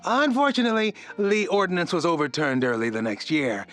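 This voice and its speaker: A man's voice: on the high side, brisk, fairly bright tone, soft background music, lightly acted. A male actor playing a cheerful small-town tourism promoter, reading a scripted promotional narration in an upbeat, theatrical salesman's manner. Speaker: tourism board spokesman.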